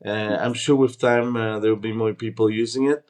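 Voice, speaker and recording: A man's voice: lowish voice, steady tone, normal speed, a little mellow. A man in his twenties, born in Peru and raised in Canada, talking casually as a startup founder being interviewed. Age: 20s.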